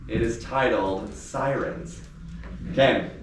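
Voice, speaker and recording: A man's voice: medium pitch, slow pace. A man, an author, talking informally and reading his story aloud at a live book event. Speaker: teacher. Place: indoor venue with a small live audience. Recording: very echoey room, distant mic, faint noise.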